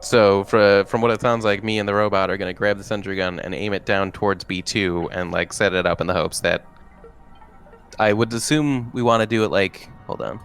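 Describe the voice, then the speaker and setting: Low-voiced man thinking aloud. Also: a bit bright. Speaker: tabletop game player. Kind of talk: casual chat.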